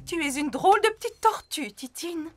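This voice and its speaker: A kindly lady animal doctor in a children's cartoon. The voice is high and melodic, with faint music behind. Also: animated, normal speed, a little mellow.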